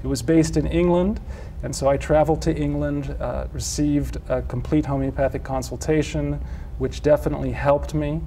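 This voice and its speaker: Man, middle-aged, from Switzerland, relaxed warm voice, measured explaining, faint hiss. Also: on the high side. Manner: measured, explanatory, with frequent restarts. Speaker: physician and homeopath guest. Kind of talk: interview answers.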